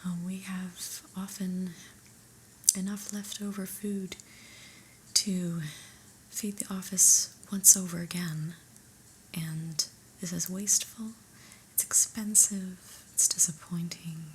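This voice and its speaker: A woman whispering an ASMR roleplay as an unimpressed new office boss, deliberate, mildly stern and deadpan, in a breathy voice. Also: on the low side, slight background noise, rough recording.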